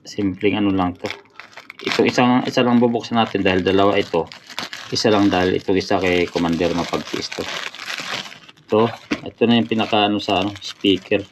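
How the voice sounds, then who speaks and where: A man's voice: low voice, fairly even intonation, brisk, pauses often, noisy background. A man giving casual unboxing commentary as a motorcycle vlogger, often hesitating to find words and addressing his viewers.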